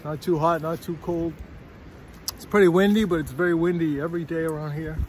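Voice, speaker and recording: A man's voice: fairly high pitch, fairly even intonation, fairly quick. A middle-aged man vlogging on a windy forest trail, filming himself with a phone at arm's length, a little out of breath and chatty. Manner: chatty, rambling, keeps asking "all right?". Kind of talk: casual walking vlog.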